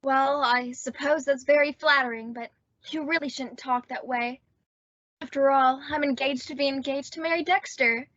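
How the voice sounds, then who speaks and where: A woman's voice: sits high, steady tone, moderate pace, a bit bright, dry recording, muffled recording. A woman playing a dramatic, lovestruck and earnest teenage girl in an old-time radio comedy. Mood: prim, uneasy.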